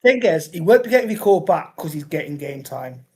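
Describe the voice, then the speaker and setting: Two to four sentences: A young man with a Scouse-tinged Northern English accent co-hosts a football podcast. His voice sits a little high and he talks in a bouncy, excitable, chatty way, full of quick banter. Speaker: podcast co-host. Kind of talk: casual football chat.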